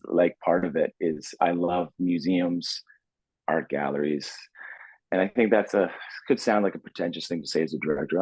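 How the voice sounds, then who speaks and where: Husky, deep-voiced man. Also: dry recording, lo-fi sound. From Canada. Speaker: film director being interviewed. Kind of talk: casual chat.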